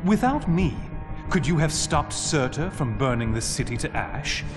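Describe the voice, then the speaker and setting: A man's voice: a little high, big pitch swings, moderate pace, music in the background. An actor voicing a sly, sardonic male trickster god in a video game, smug, wry and theatrical. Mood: self-justifying. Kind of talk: video game cutscene acting.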